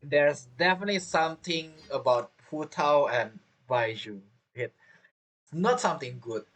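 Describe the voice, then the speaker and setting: A woman's voice: deep voice, expressive, measured pace, poor audio. A woman giving casual, reactive commentary as she streams a video game. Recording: game music behind the voice.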